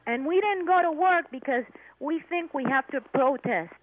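A woman's voice: high-pitched, moderate pace, dry recording. A woman calling in to a radio show, talking insistently and over the other speakers. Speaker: caller. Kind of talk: heated call-in talk. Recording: tinny phone line with faint hiss.

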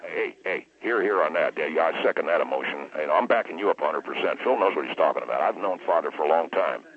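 A man playing a blustering, opinionated patriotic caller on a comic radio show, emphatic and repetitive, with a husky voice. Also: very deep voice, animated, fast talker, voice pushed down. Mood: agreeing. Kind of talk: comic radio call-in rant. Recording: muffled, tinny phone line.

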